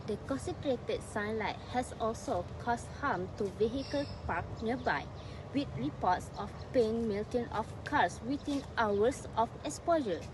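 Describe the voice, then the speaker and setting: A woman's voice: fairly high pitch, lively intonation, a little slow. A woman giving scripted documentary narration as a presenter. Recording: outdoor recording with clear traffic noise. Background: urban outdoor ambience, vehicles.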